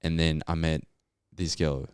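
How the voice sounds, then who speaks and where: Very deep-voiced man with Colombian accent. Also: little pitch movement, unhurried, clear, resonant tone, no room sound, clean studio sound. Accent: Colombian Spanish. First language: Spanish. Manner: reflective, storytelling, frequent fillers. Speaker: podcast guest. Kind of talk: casual interview chat.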